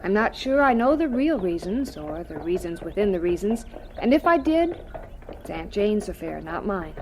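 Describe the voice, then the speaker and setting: A woman playing a gentle, devout farm mother in a radio drama, speaking with tender, sincere dignity. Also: medium pitch, big pitch swings, moderate pace, slight background noise, poor audio. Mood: guarded, loyal.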